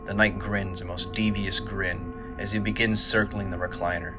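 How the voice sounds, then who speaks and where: A man reading scripted audio drama narration in a measured, dramatic storytelling manner. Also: low-pitched, level tone, music in the background. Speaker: narrator, writer and director.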